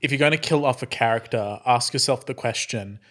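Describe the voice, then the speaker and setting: A man's voice: medium pitch, a little fast, a bit bright. A man chatting casually with friends about writing and his tabletop game character, rambling and breaking off his sentences, often laughing. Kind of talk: casual group chat.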